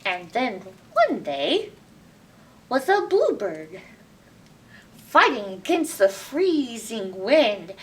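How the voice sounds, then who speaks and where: A woman reads a storybook to young children in a high voice that swoops around expressively. She takes it slowly and asks the listeners questions. She sounds a bit away from the mic, with some background noise. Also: big pitch swings, recorded from a distance. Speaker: library storytime reader. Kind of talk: read-aloud picture book storytelling for children, with asides.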